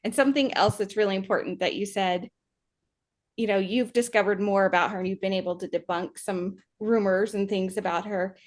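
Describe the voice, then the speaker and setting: Woman podcast cohost, mid pitched, earnest and thoughtful, casual chat. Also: fairly even intonation, a little fast. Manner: earnest, reflective explaining. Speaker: podcast co-host.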